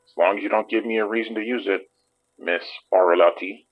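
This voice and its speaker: An actor playing a wary, blunt police detective in an audio drama. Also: male voice, on the low side, steady tone, a little fast, narrow, tinny audio, soft background music, lightly acted.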